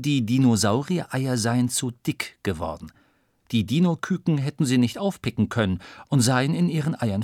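A man's voice: mid-range voice, a little mellow, no room sound. Male storyteller in a very dry studio. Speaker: audiobook narrator. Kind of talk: scripted narration of a children's science audiobook. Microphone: close studio microphone, dry.

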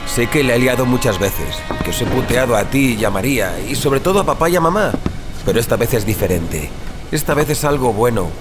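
An actor with a Spanish accent from Spain playing a reckless, secretive younger brother in an audio drama, urgent and pleading. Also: male voice, medium pitch, expressive, brisk, close to an everyday voice. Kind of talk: audio drama acting with inner monologue. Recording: voice over loud background music.

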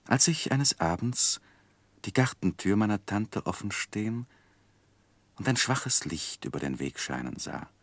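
A man's voice: rumbling low, vocal fry, dry recording, exaggerated voice. An actor playing an earnest, anxious young husband who also narrates his life in a 1960s German radio drama. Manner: earnest, hesitant in argument.

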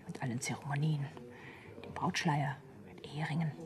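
An actress playing a wry, questioning middle-aged bride-to-be in a radio drama, understated and probing. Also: female voice, low voice, steady tone, moderate pace, a little hiss or hum, natural voice.

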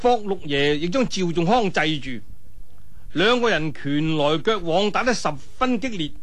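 A high-voiced Cantonese man narrates an action tale as a traditional storyteller. His delivery swings widely in pitch, colloquial and dramatic, built for vivid fight scenes. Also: expressive, measured pace, a bit bright. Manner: dramatic, colloquial, with spoken sound effects and interjections.

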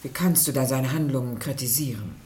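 Earnest woman, dark mellow voice. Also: a bass voice, a little fast. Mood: reproachful. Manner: earnest, admonishing. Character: reproachful, dutiful woman of a governor's family. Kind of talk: German radio play acting.

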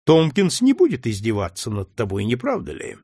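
A man voicing a bold, sharp-tongued enslaved woman who speaks with reproachful irony. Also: mid-range voice, huge pitch swings, moderate pace, vocal fry, husky, dry recording, clean studio sound, exaggerated voice. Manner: reproachful, ironic.

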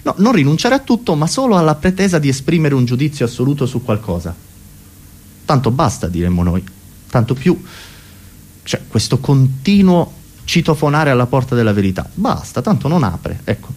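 A male comedian performs a philosophical monologue with a very lively, swooping delivery. He rambles and corrects himself like a mock professor, at a middling male pitch. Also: moderate pace. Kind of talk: comic philosophical stage monologue.

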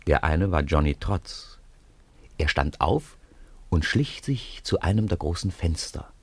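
Male storyteller, low voice, dry close sound, narrating children's radio drama. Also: animated, unhurried, slightly rough, no room sound.

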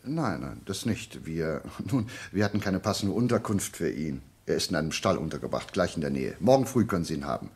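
A husky, low-voiced shrewd detective. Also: male voice, sing-song, a little mellow, full character voice. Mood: slyly courteous. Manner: deliberate, theatrical reasoning, slyly polite. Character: shrewd, self-assured gentleman detective, reasoning calmly. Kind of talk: German radio play acting.

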